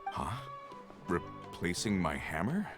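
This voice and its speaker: Casting: humble working man for a game, low voice with lively swings, earnest, music behind. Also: slow, heavily acted. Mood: puzzled, musing. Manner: plain-spoken, expressive, earnest. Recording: game dialogue over background music.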